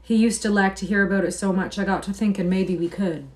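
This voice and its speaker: A woman's voice: medium pitch, fairly even intonation, fast, darkish tone, lightly acted. A woman reading aloud and voicing a weary, loyal male ranch worker in plain rural speech.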